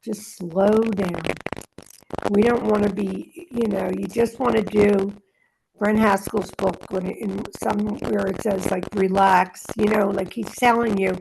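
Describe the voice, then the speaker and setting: A woman's voice: mid-range voice, fairly even intonation, measured pace, vocal fry. A woman leading a study group, moving between reading aloud and casual, conversational asides. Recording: noisy background with clicks.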